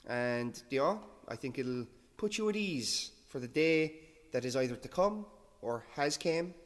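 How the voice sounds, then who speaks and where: A man's voice: mid-range voice, animated, measured pace, long reverb tail. A man hosting an audio show, rambling casually in a laid-back, self-deprecating way. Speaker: radio-style show host.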